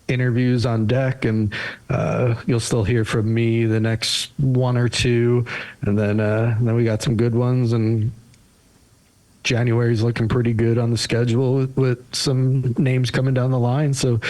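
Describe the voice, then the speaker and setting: A man hosts a podcast in an easygoing, rambling manner. His voice sits at an ordinary middle pitch. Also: flat delivery, measured pace, darkish tone, poor audio. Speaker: podcast host. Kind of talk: casual podcast chat.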